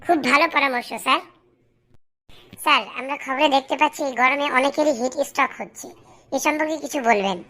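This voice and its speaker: Woman acting polite cartoon pupil, high voice, rapid speech, eager. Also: fairly bright tone. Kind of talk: didactic cartoon dialogue.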